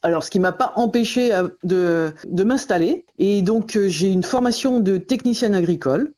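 A woman's voice: medium pitch, normal speed, dark tone. A middle-aged woman from Normandy giving a personal account in a radio interview, often searching for her words as she goes. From Normandy, France. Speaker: interviewee, farmer and forest garden founder. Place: on a telephone call for a radio show.

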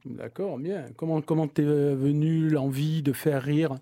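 A French man hosts a comedy talk show in a fairly high voice. He teases his guest playfully and slips many fillers into his questions. He keeps the tone light throughout. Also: fairly even intonation, a little slow, dark tone. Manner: playful, teasing, with frequent fillers. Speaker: podcast host.

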